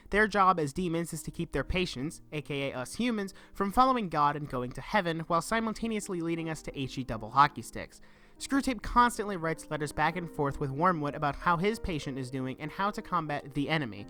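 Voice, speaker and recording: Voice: mid-range voice, animated, fairly quick, sharp tone. A young adult giving an earnest, reflective scripted narration for a video essay. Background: background music.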